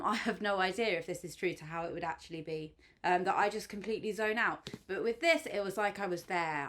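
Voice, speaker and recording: A woman's voice: fairly low pitch, fairly bright tone. Gushing woman reviewing a book. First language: English. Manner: gushing, chatty, with frequent "um" and "like". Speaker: book reviewer and channel host. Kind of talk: enthusiastic spoken book review.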